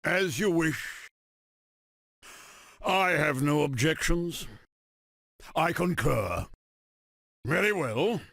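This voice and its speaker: An arrogant, armored supervillain tyrant from a video game. The voice is heavily gravelled and husky, with grandiose, commanding delivery that swings widely in pitch. Every phrase is declaimed with pompous self-importance. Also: male voice, animated, very slow, long pauses, a bit bright, no room sound, clean studio sound, full character voice. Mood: curt assent. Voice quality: gravelly, rasping. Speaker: video game villain character. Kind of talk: theatrical video game villain voice clips.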